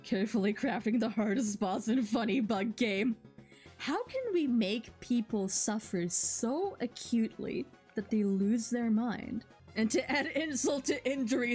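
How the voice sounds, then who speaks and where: A woman doing playful, excitable live game commentary and reading dialogue aloud with mock drama. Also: fairly high pitch. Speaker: game streamer.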